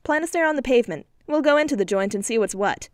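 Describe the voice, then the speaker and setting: A woman voicing a sharp-tongued, wealthy young flapper who talks brash and slangy. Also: fairly high pitch, lively intonation, fast, no room sound, studio quality, pitched above the performer's own voice, close to an everyday voice.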